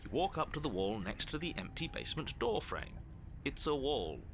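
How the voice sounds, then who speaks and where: An actor voicing a stern, impatient narrator in a video game, with a measured, authoritative delivery. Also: male voice, medium pitch, big pitch swings, natural voice. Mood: stern, dry. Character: stern male narrator, commanding, impatient, dryly insistent. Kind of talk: scripted second-person game narration.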